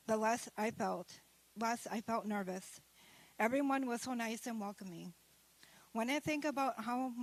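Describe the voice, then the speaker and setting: A woman's voice: on the high side, low-quality recording. A woman who is an adult student, reading her own essay aloud at a graduation ceremony, carefully and with small restarts. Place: ceremony hall.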